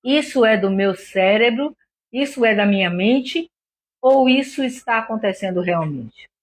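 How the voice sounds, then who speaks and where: A slightly hoarse woman with a Brazilian accent, a doctor hosting an informal live stream, explaining things didactically with frequent check-in tags. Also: on the high side, lively intonation, a little slow, a little hiss or hum. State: a little hoarse. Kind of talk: informal explanatory talk to online viewers.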